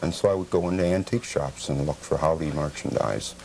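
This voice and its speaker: A middle-aged man answering interview questions as a collector, in a measured, formal, matter-of-fact way. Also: extremely low pitch, a little mellow. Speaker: interview guest, memorabilia collector. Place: television program set. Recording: noisy old cable TV tape.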